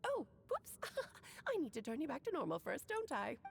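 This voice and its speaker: A woman's voice: sits high, huge pitch swings, normal speed, creaky, heavily acted. An actress playing a theatrical, affectionate, teasing witch lady in a video game, with a playful drawl and flourished phrasing. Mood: playful, fond.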